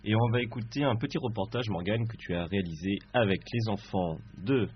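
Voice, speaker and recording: A man's voice: on the low side, brisk, slight background noise. A man hosting a community radio show, chatting casually and bluntly between songs. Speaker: radio host. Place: radio studio. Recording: dull lo-fi sound, faint music.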